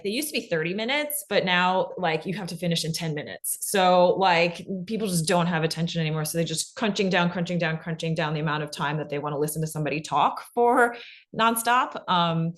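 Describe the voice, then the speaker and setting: A woman giving an informal instructional talk as a panelist, chatty and explanatory, often dropping "like" into her sentences. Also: lowish voice. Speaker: panelist, fiction podcast expert.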